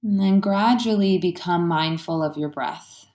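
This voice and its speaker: A woman with a low voice guides a meditation in an echoey, roomy space. Her delivery is gentle and unhurried, with soothing phrasing and pauses between thoughts.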